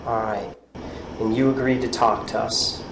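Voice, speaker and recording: An American man questioning a suspect as a police interrogator, with procedural, halting questions. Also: on the low side, fairly even intonation, creaky. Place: indoor police interview room. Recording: echoey, noisy interrogation room audio.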